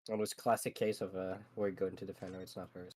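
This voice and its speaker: A man's voice: low-pitched, little pitch movement, quick pace, a little mellow, a little hiss or hum. A man hosting a casual gaming stream with friends, talking in a rambling, sweary way. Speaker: host of a group gameplay stream.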